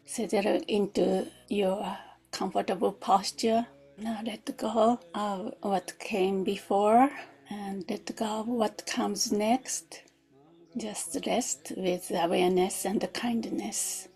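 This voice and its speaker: A woman teaches guided meditation at an unhurried pace. Her voice is mellow and slightly husky, with some creak. She speaks reflectively in short, spaced phrases, often posing questions. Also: mid-range voice, fairly even intonation, rough recording. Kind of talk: guided meditation, gentle instruction.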